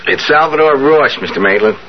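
An actor playing an eager young working-class suitor in a 1970s radio drama, halting, slangy and earnest. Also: male voice, medium pitch, lively intonation, close to an everyday voice. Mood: polite, nervous. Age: young adult. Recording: dull, hissy vintage radio broadcast.

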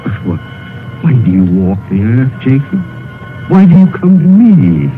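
An actor playing a crotchety, stubborn, easily frightened old miser in a vintage radio drama, with a halting, blustering delivery. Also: male voice, medium pitch, big pitch swings. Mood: fearful pleading. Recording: muffled old radio broadcast, music behind.

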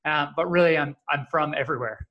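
A man in his thirties, a startup co-founder answering podcast questions in a friendly, joking, relaxed way. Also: fairly high pitch, fast talker, highs cut off. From Canada. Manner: friendly, jokey, relaxed storyteller. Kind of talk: casual interview answers.

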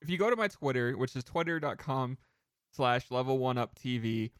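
A man co-hosting a casual podcast, telling rambling, animated anecdotes with some casual swearing. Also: medium pitch, moderate pace, dry recording, clean studio sound. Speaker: podcast co-host.

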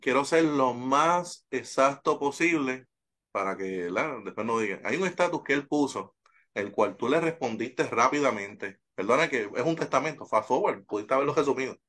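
A man interviewing a wrestler, asking questions in a relaxed, conversational way. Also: mid-range voice, moderate pace.

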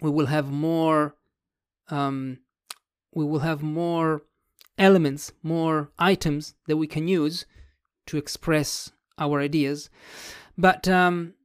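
Writing vlogger, mid pitch, dry close sound, musing and word-searching. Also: steady tone, slow pace, smooth, no echo. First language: Italian. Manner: thinks aloud, searching for words. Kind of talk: casual explanatory talk about a book.

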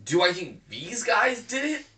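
A man talks on a casual podcast, animated and swearing freely. His bright voice moves up and down, and he sounds a bit far from the mic. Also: high voice, unhurried. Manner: animated, profane. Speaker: podcast co-host. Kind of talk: casual chat.

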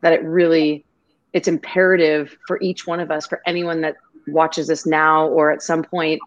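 A woman's voice: deep voice, level tone, moderate pace, muffled recording. A woman guest on a video-call interview, talking in an effusive, grateful and rambling way. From half Greek, half Irish family. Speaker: podcast guest.

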